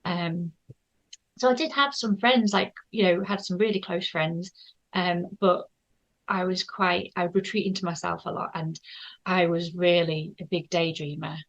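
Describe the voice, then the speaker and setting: Gentle, hesitant woman. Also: on the low side, fairly even intonation, moderate pace, lo-fi sound. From North Yorkshire, England. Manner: shy, gentle, hesitant with many ums. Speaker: guest artist. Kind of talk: casual interview chat.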